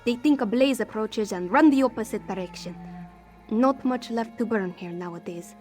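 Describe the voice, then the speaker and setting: High female voice, woman acting as anxious cat-folk thief, defensive, street-hardened, formal phrasing, fantasy game dialogue, light music underneath. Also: normal speed, darkish tone, close to an everyday voice. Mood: proud, hopeful. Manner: refers to herself in third person, formal contraction-free phrasing.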